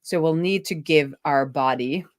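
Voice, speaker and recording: A woman's voice: low voice, unhurried, dry recording, clean studio sound. A woman live streaming a casual coding walkthrough, talking informally and thinking aloud to herself as she works.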